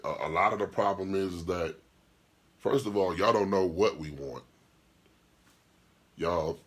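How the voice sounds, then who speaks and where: A man hosting a podcast and talking as a DJ, with a deep voice, speaking bluntly and profanely in an opinionated monologue, firing rhetorical questions and answering live chat comments. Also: breathy edge. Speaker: podcast host and DJ.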